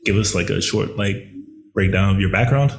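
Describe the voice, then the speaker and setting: A man hosts a casual sit-down interview, his voice a little low and gravelly with fry. He rambles through his questions, piling in fillers before getting to the point. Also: normal speed, faint music behind.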